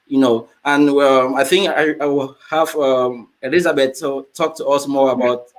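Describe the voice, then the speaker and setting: A man hosting a casual talk show, speaking in a halting, rambling way and often repeating himself. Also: mid-range voice, fairly even intonation, poor audio. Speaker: host.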